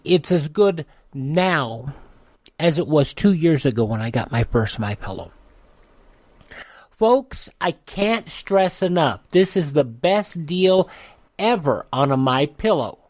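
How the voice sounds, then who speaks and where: Animated folksy male radio pitchman. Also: slow pace, no echo. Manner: folksy, emphatic. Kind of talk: conversational radio sales pitch.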